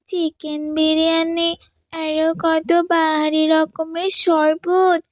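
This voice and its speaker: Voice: very high-pitched, no echo. A performer voicing a poor, meek little village girl in a cartoon, with a plaintive, pleading, childlike way of talking. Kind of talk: Islamic cartoon dialogue.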